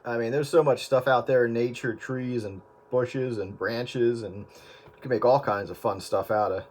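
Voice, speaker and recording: A man chatting casually in a group with a joking, deadpan manner. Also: medium pitch, moderate pace. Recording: very loud background noise, radio-like.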